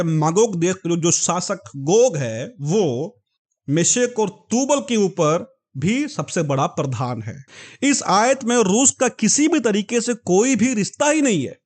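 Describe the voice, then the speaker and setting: Earnest man explaining scripture slowly. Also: high-pitched, a little mellow. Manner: slow, deliberate spelling-out of words; earnest, addresses listeners as "my dear ones". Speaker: Bible teacher. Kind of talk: explanatory Bible lesson.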